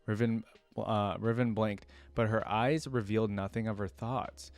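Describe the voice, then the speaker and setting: A man's voice: lowish voice, fairly even intonation, unhurried, clear, resonant tone. A man reading a fantasy story aloud and breaking off for casual, informal commentary. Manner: informal, tag questions like "right?". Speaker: reaction video host.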